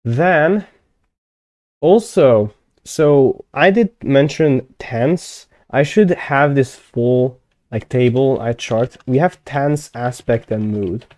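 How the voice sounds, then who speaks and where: A young man whose first language is Hungarian chats on a live stream in a mellow, warm tone. The recording is dry and close, with no room sound. He thinks aloud, trails off with fillers and corrects himself often. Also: medium pitch, slow pace, no room sound. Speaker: conlang streamer. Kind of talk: casual live-stream chat.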